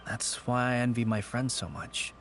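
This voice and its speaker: A man's voice: mid-range voice, fairly even intonation, moderate pace, a bit bright, slight background noise, lightly acted. An actor playing a wistful, grieving man in a fantasy video game, with an earnest, subdued, melancholic manner.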